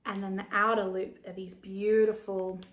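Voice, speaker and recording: A young Australian woman chatting casually to camera as host of her knitting podcast. Her voice is slightly croaky from laryngitis, at a middling pitch, and she talks in a bubbly, rambling way full of fillers and little giggles. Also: flat delivery, moderate pace.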